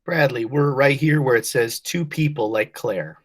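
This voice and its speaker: Husky-voiced teacher guiding young readers. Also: mid-range voice, normal speed. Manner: encouraging, calls pupils by pet names. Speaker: teacher leading a class read-aloud. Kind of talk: remote class reading lesson, prompting and correcting readers. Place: remote video class call. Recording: online class call.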